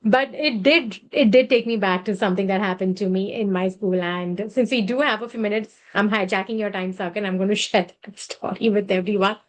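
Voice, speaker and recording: A woman's voice: medium pitch, fairly quick, hardly any pauses. Chatty woman telling a school story. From Gujarat, India. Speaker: speaker sharing a personal story. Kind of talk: storytelling to an online group.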